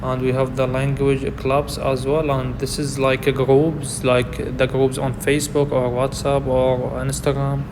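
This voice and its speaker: Native arabic speaker guy doing an app tutorial, lots of uhs, flat voice, echoey room with ac humming. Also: mid-range voice, background noise. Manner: halting, with frequent fillers and simple non-native grammar. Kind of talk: screen walkthrough narration.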